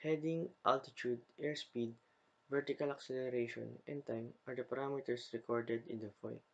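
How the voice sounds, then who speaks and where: Young guy reading his slide script out loud, student presentation, normal pitch, careful phrase by phrase. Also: steady tone, normal speed, vocal fry, highs cut off. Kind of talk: scripted slide narration, read aloud.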